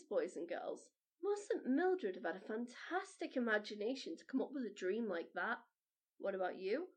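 A woman hosting a children's storytime, narrating a storybook in an expressive, animated way. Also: a little high. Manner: animated, addressing children directly.

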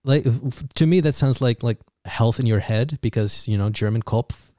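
A man hosting an interview in a smooth, mellow voice, recorded dry and close. He hesitates and restarts his sentences, leaning on uh and like. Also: medium pitch, dry recording. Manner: halting, many restarts and fillers. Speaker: host. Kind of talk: conversational interview.

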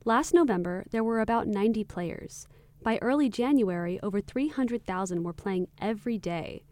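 A woman hosting a radio show, reading a scripted intro clearly and evenly. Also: mid-range voice, expressive, moderate pace, dark tone, no room sound. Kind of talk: scripted news read.

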